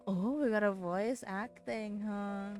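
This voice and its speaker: A woman's voice: mid-range voice, slow pace. A woman streaming a game, reading its text aloud with stumbles and breaking off to exclaim in reaction. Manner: exclamatory, stumbles over read words. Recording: game music behind the voice. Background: soft game music.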